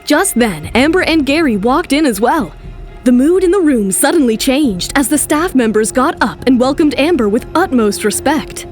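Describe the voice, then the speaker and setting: A woman narrates a romantic audio drama in a high voice. The delivery is scripted and dramatic. Soft music plays beneath her. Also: lively intonation, normal speed.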